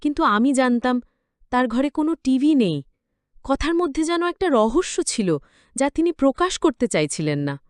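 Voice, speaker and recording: A woman's voice: high voice, big pitch swings, normal speed, a little mellow, clear, resonant tone, no room sound, clean studio sound. A woman reading a scripted, emotional story as its narrator.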